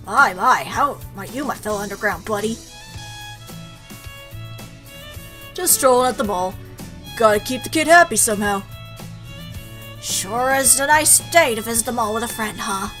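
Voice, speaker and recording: Woman as a teasing skeleton guy, bright voice. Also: fairly high pitch, normal speed, soft background music, close to an everyday voice. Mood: jovial. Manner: easygoing, teasing. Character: laid-back joking male skeleton buddy.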